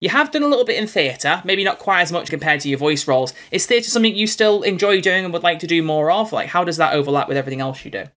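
A man's voice: a little high, expressive, fast, hardly any pauses, a bit bright. A young man hosting an interview in a casual, chatty style. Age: young adult. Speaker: interview host. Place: bedroom studio on a video call.

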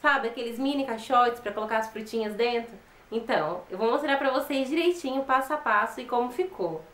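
Brazilian woman in her twenties hosting a craft channel, chatty and friendly, slightly high voice, echoey small room. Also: fairly quick, a bit bright. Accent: Brazilian Portuguese. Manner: chatty, friendly, often ends with "tá?". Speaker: DIY channel host. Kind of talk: upbeat on-camera intro and outro. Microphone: fixed camera at close range.

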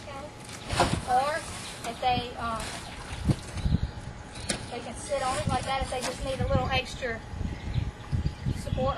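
High-voiced woman teaching outdoors. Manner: practical, brisk, raising her voice to a group. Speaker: camp staff trainer. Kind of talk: outdoor instruction to counselors. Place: outdoors at a lakeshore. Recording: loud outdoor background noise.

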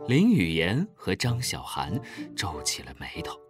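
A man's voice: deep voice, huge pitch swings, a little slow, music in the background. A man narrating a scripted children's story expressively.

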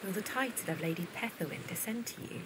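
A woman's voice: medium pitch, fast, background noise, close to an everyday voice. A woman playing a naive, earnest, lovesick young Victorian sister in an audio drama, speaking hesitantly. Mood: polite. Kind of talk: period audio drama acting.

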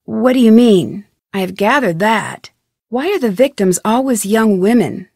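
A young American amateur sleuth for a mystery video game. Her voice sits a little high, earnest and polite, with an inquisitive, determined way of questioning. The recording is close and dry, like studio game dialogue. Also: a little slow, a little mellow, no room sound. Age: young adult. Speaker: video game detective protagonist. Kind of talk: scripted adventure game voice acting.

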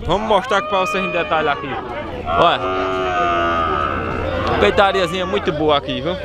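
A man's voice: high-pitched, moderate pace. A man with a Northeastern Brazilian accent giving casual, repetitive, emphatic market commentary and asking prices as host. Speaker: host of livestock market videos. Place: outdoor cattle fair pens. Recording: very noisy outdoor cattle market, busy crowd. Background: crowd, cattle, loud ambient noise.

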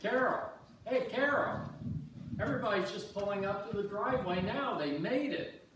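A hearty middle-aged host, acted by a man, eager and high-pitched for a man. He is heard from far off in a very echoey hall during a stage reading. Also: lively intonation, moderate pace. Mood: excited, hearty. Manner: hearty, calling out. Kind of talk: staged reader's theater acting. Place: church sanctuary stage, far camera. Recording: very echoey church hall from far off, faint noise.

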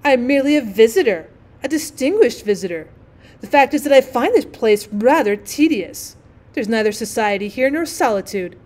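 A woman's voice: on the high side, lively intonation, normal speed, a little mellow, close to an everyday voice. A woman voicing a vain male firework rocket in a storybook, with a haughty, boastful, declamatory manner. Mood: haughty, disdainful.

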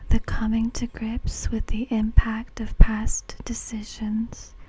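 A woman whispering a fortune-telling reading in ASMR style, breathy and deliberate, speaking close to the mic. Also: a little high, monotone, dark tone, rough recording. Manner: slow, deliberate, measured phrasing. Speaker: fortune teller.

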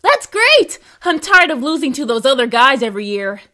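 Woman as high-pitched whiny anime boy. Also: very animated, moderate pace, pushed and bright, exaggerated voice. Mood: anxious, whiny. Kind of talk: anime parody dub.

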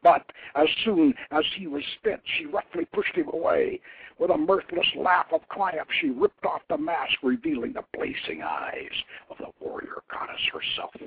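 An older man reading a tale aloud in a solemn, theatrical, measured way, as a host telling a story. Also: a little high, wildly swooping pitch, vocal fry, breathy edge. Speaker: show host reading his own novelette. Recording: phone-like radio line with background noise.

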